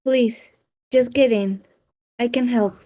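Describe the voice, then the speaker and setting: Woman as resolute teen warrior girl, higher voice. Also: steady tone, slow, mellow, dull audio. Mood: reassuring, firm. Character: brave fifteen-year-old girl warrior, resolute and protective. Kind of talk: screenplay table read.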